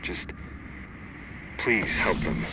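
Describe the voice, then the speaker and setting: An actor playing an earnest, awkward Republic agent in a video game, pleading haltingly. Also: male voice, noisy background.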